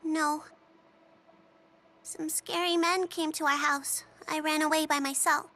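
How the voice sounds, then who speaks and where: A spunky little video game girl, with a very high, bright voice. She sounds innocent and squeaky, speaking with childlike earnestness. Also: steady tone, a little slow. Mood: sad, earnest. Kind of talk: English video game voice acting.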